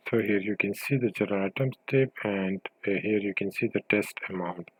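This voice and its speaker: A man with a fairly low voice presents an informal how-to walkthrough. He thinks aloud in a hesitant, step-by-step way. The sound is dry, with very little room around the voice. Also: moderate pace, breathy edge, no room sound, poor audio. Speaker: software tutorial presenter. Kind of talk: informal screen-share tutorial narration.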